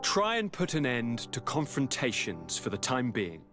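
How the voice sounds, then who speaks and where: An actor playing a courteous, theatrical young noble governor in a video game, with flowery, aristocratic manners. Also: male voice, medium pitch, wildly swooping pitch, moderate pace, fairly bright tone, soft background music. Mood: courteous, breezy.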